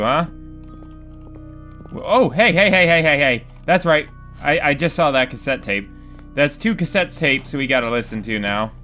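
A man's voice: on the high side, pushed and bright. A young man casually commenting on a horror game he is playing, rambling, swearing often and talking to himself. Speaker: gaming video host. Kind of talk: casual let's play commentary. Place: home room.

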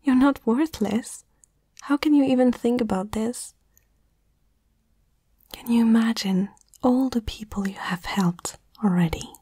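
A woman whispering softly and tenderly in a breathy voice, in a reassuring ASMR comfort roleplay, close to the microphone. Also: fairly high pitch, measured pace, pauses often, no echo.

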